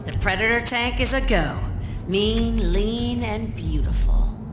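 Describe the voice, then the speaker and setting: A woman's voice: fairly high pitch, slow. A woman playing a confident resistance leader in a video game, briefing in a commanding, encouraging way. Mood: proud, rallying. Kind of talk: scripted video game acting. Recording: echoey, with music behind.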